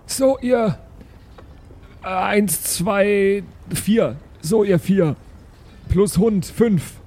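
A man's voice: high-pitched, drawn-out words, a little mellow. A man chatting casually as a player in an improvised role-playing game, often thinking aloud.

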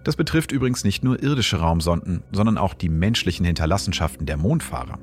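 Deep, lively science explainer, male. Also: quick pace, breathy edge, faint music behind. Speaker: podcast host and astrophysicist. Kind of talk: scripted science narration.

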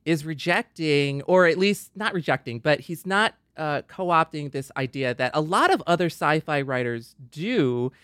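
A man's voice: a little high, animated, measured pace, hardly any pauses, fairly bright tone, dry recording, clean studio sound. A man co-hosting a podcast, explaining his points in casual talk and rephrasing as he goes. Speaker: podcast co-host.

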